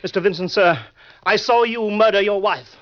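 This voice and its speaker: A man's voice: sits high, moderate pace, natural voice. A man playing a shocked, formal butler making an accusation in a 1940s radio drama. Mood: horrified accusation. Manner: formal, aghast. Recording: dull, lo-fi old radio recording.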